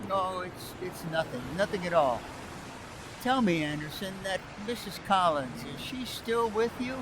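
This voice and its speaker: A man's voice: high voice, lively intonation, a little slow, noisy background. A man playing a genial, patronizing older businessman in a vintage-style radio comedy, stammering and hesitant in an avuncular way.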